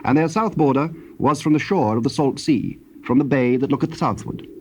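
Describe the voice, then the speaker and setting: British male narrator, mid-pitched, formal and measured scripture reading on slightly noisy old tape.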